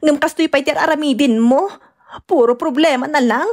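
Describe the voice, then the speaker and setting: A woman's voice: sits high, normal speed. A woman playing a long-suffering, pleading young wife and mother in an audio drama, plaintive and nagging. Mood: tearful reproach. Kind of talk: Tagalog-Ilocano radio-style drama acting.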